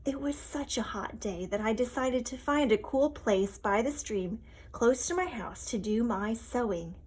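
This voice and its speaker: A woman voicing a chatty, relieved but shaken mother hen in an expressive, deliberate storybook read-aloud. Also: on the high side, slightly rough. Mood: anxious, breathless.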